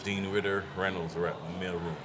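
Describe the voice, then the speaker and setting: A man's voice: level tone, brisk, noisy background. A middle-aged man from Barbados, a comedian guest telling stories in casual interview chat. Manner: storytelling, acts out quoted voices in a high pitch.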